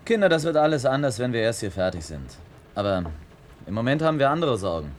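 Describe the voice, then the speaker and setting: An actor playing a grumbling, hard-nosed psychologist on a field team in a science fiction radio play. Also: male voice, medium pitch, sing-song, quick pace, slight background noise, natural voice.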